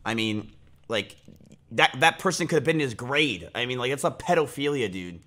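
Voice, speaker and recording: A man's voice: mid-range voice, lively intonation, normal speed, pushed and bright. A man in his twenties talking casually on a live stream, rambling with lots of fillers and restarts, and getting heated and blunt. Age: 20s. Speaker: livestreamer. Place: small indoor room, home streaming setup.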